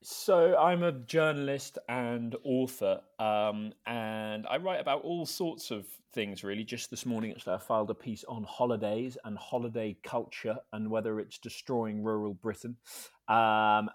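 A young man from Scotland speaks as an interview guest, his voice sitting fairly low and recorded close and dry with no room around it. He talks thoughtfully and hesitantly, with frequent ums and restarts as he works through his answers. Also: no echo. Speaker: guest, journalist and author. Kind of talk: relaxed interview talk.

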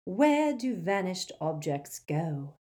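A woman's voice: on the low side, sing-song, takes it slowly, mellow. A woman voicing a talking eagle door knocker with a musical, polite way of asking riddles. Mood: courteous.

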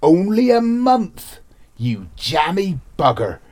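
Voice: medium pitch, very animated, slow, darkish tone. A performer playing a tired ambulance worker who moans competitively in a comedy sketch. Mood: weary, one-upping.

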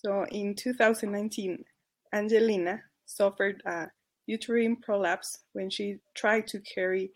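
Mexican-accented woman guest, mid-pitched, earnest, self-correcting storyteller. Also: steady tone, unhurried. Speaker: charity advocacy worker. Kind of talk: podcast guest telling a case story.

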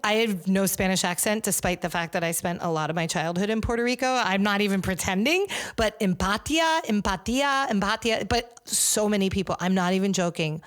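A woman hosts a podcast, talking in a chatty, outspoken way with sarcastic asides. Her voice sits at a middle pitch. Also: fairly quick, hardly any pauses. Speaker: podcast host. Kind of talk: casual chat.

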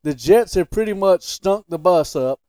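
A man's voice: high voice, lively intonation, moderate pace, warm tone, no echo. A man co-hosting a sports podcast, debating casually in a blunt, opinionated and emphatic way.

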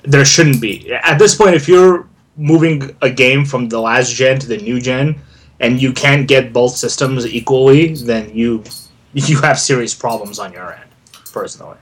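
A man's voice: medium pitch, a little slow, breathy edge. A man co-hosting a gaming podcast, blunt and matter-of-fact. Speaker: podcast co-host. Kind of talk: casual gaming podcast chat.

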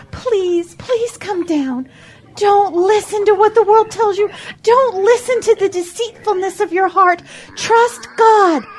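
A pious, distraught farm animal played by a woman, pleading melodramatically. The voice is extremely high and the pace is slow, as acted in a children's audio drama. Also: darkish tone.

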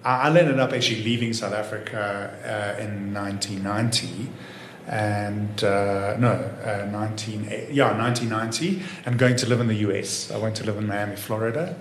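A man's voice: fairly low pitch, steady tone, normal speed, roomy sound, slight background noise. A man in his fifties who grew up in Israel, talking easily as an interview guest and leaning on "you know" as he goes. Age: 50s. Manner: easygoing, many "you know" fillers and restarts. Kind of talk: relaxed conversational interview.

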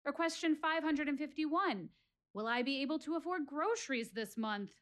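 An actress playing an anxious, searching young woman in a theatrical audio-play monologue, with deliberate, questioning dramatic delivery. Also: high voice, a bit bright.

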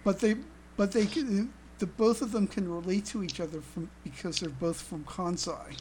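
A podcast host in casual, unscripted discussion, speaking haltingly and often starting phrases over. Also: mid-range voice, a little mellow, husky, low-quality recording.

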